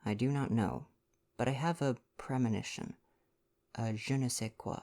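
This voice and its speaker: A man voicing a grave, measured little detective from a storybook, speaking slowly and deliberately. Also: medium pitch, a little mellow, natural voice. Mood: grave foreboding.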